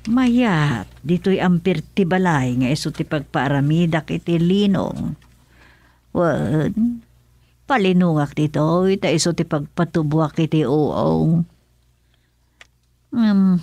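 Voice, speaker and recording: Low-voiced, thrifty Ilocano mother. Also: gravelly, darkish tone, no room sound, exaggerated voice. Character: thrifty, business-minded middle-aged Filipino mother. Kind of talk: radio comedy drama acting.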